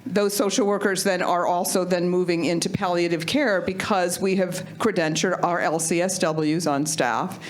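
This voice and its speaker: A woman manager in home health and hospice, speaking up from a meeting room audience without a microphone in an upbeat way, laughing often and calling herself loud. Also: lowish voice, steady tone, moderate pace, few pauses. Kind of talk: panel discussion audience comment. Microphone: no microphone, picked up from a distance.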